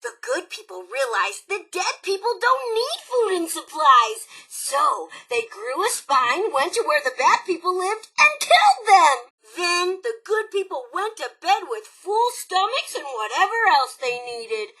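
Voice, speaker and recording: A woman's voice: extremely high pitch, sing-song, measured pace, few pauses, sharp tone, full character voice, rough recording. A woman playing a sassy, erratic female raider robot who DJs on the radio in a video game, with a sardonic, theatrical delivery. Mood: mock-sweet, vicious. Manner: sardonic, theatrical, erratic swings from muttering to shrieking.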